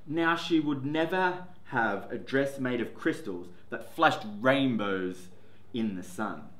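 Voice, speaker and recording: A man in his forties, a teacher, reading a picture book aloud to children, seated in a classroom. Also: medium pitch. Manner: slow, expressive storytelling. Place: classroom, indoors. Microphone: camera in front, seated. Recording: echoey classroom.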